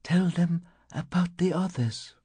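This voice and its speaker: A man's voice: mid-range voice, big pitch swings, moderate pace, breathy edge, no echo. A man voicing a frail, haunted woman medium in an audiobook, pleading and trembling. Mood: faint, haunted.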